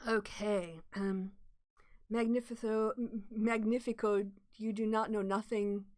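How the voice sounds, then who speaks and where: A woman's voice: medium pitch, steady tone, measured pace, darkish tone, no room sound. A woman hosting a casual livestream, talking through ideas with restarts and answering viewer comments. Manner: thinks aloud with restarts, explanatory and opinionated. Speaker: livestream host.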